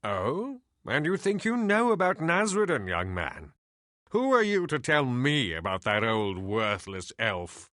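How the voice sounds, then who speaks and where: Sardonic, weary old elf sage. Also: male voice, mid-range voice, wildly swooping pitch, bright, forceful tone, no room sound, studio quality, full character voice. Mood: scornful. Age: older. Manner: sardonic, theatrical, deliberate. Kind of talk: video game voice acting.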